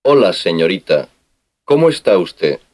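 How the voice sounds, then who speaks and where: A man's voice: deep voice, big pitch swings, measured pace, full character voice. A man playing a polite customs officer who asks formal questions in a scripted language-lesson dialogue.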